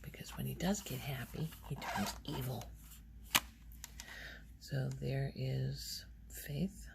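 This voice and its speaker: A woman speaking softly in casual ASMR style, often slipping toward a whisper, halting as she thinks aloud. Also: very deep voice, fairly even intonation, gravelly, very noisy. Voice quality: soft, often breaking into whisper. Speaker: ASMR video host. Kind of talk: soft-spoken ASMR, casual rambling show-and-tell.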